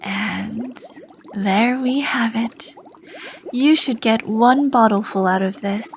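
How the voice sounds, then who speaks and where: A woman voicing a charming, sly female potion master as a shopkeeper in an ASMR roleplay, speaking softly and flirtatiously and often dropping into whispers. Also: fairly high pitch, takes it slowly, a little hiss or hum. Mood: soft flirty. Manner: soft, flirty, drifts into whispers.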